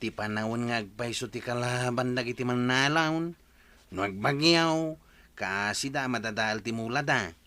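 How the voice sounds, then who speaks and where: Guy doing a filipino farm nephew, matter of fact, bright voice, close dry sound, radio comedy. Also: medium pitch, normal speed, no echo, lightly acted. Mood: questioning. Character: younger village nephew, matter-of-fact and inquisitive. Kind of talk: Ilocano radio comedy drama acting.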